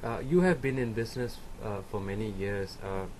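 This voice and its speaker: A man's voice: on the low side, normal speed, a little mellow, a little hiss or hum. A man interviewing a guest, asking short polite questions.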